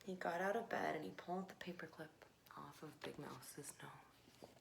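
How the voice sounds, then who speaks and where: A woman narrating a children's picture book expressively, with dramatic pauses and hushed phrases. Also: low-pitched, vocal fry. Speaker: storybook reader. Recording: faint background noise.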